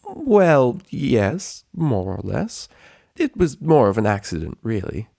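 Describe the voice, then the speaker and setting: A man's voice: medium pitch, huge pitch swings, slow pace, creaky, mellow, no room sound, studio quality, pitched above the performer's own voice, full character voice. Man putting on a lifted, genteel lady pony. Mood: evasive. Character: refined, knowing female cartoon pony.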